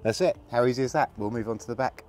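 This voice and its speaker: A man with a gravelly voice from a cold, casually talking through a hands-on installation while he works. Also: mid-range voice, quick pace, faint music behind. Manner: relaxed, chatty, talks while working with hands. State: hoarse from a cold. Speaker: product installer demonstrating. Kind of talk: casual how-to demonstration.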